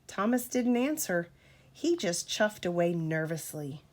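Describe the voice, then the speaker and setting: A woman reading a storybook aloud to a child as a parent, in an expressive, deliberate storytelling manner. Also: fairly low pitch.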